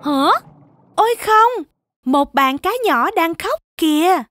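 A woman's voice: very high-pitched, expressive, slow, fairly bright tone, a little hiss or hum, full character voice. An actress voicing a sweet mother shark who guides young viewers in a Vietnamese children's cartoon. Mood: concerned.

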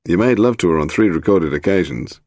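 A sardonic British policeman, voiced by a male audiobook reader, low and gravelly. He is brusque and coolly authoritative, with a dry edge to his words. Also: expressive, a little fast, darkish tone, dry recording. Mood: cool accusation.

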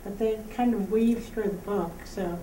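A woman in the audience calmly adding a point in a book discussion. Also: medium pitch, normal speed. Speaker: audience member. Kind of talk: casual discussion. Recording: echoey room from a distance, hiss.

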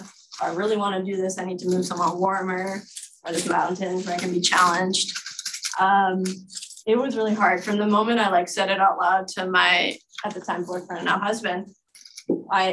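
A woman's voice: mid-range voice, fairly bright tone, slight background noise, low-quality recording. A Belgian woman, an Olympic triathlete, speaking as a guest and answering questions in a casual, chatty way full of "like". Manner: chatty, filler-heavy, many "like"s.

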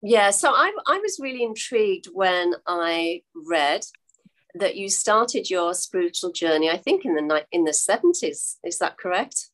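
A woman's voice: fairly low pitch, big pitch swings, measured pace, fairly bright tone, low-quality recording. Older woman hosting a video call interview. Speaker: host. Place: home room. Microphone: video call webcam.

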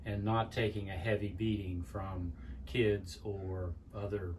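An older man giving a plainspoken woodworking how-to in his home workshop, pausing with "uh" as he explains. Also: sits low, level tone, a little mellow, distant mic, slight background noise. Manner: plainspoken, with frequent "uh" pauses and restarts. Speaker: woodworking instructor. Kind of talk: casual how-to demonstration. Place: cluttered home workshop, indoors. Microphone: camera at a distance.

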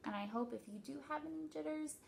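A woman in her thirties, a teacher doing an expressive read-aloud story time for children. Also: sits high, fast, gravelly, a little hiss or hum. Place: small indoor office.

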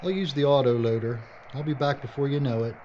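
A man's voice: mid-range voice, quick pace, natural voice. A man acting as a determined filmmaker hero in a horror adventure drama, blunt and matter-of-fact. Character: gruff, determined male filmmaker turned action hero. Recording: dull audio with loud background noise.

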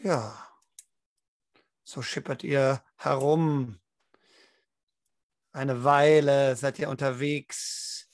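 A man's voice: medium pitch, steady tone, very slow, long pauses, no room sound. A young man narrating a tabletop roleplaying game as game master over a video call. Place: home room, online video call.

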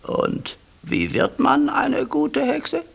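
An actor voicing a bossy talking raven in a children's audio play, croaky and scolding, given to lecturing. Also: sits high, huge pitch swings, very slow, exaggerated voice. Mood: stern, lecturing. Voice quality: croaky, rasping.

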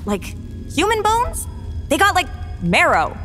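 A woman's voice: extremely high pitch, wildly swooping pitch, a little slow, sharp tone, faint music behind, exaggerated voice. A woman playing a baffled, literal-minded young swordswoman in an anime parody dub. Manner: baffled, pedantic.

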